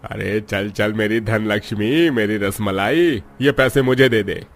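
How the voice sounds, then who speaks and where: A man's voice: fairly low pitch, measured pace. An actor playing a coarse, domineering bully of a man in a cartoon drama, full of scorn. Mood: wheedling. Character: bullying, coarse man: grumpy boss and drunken husband.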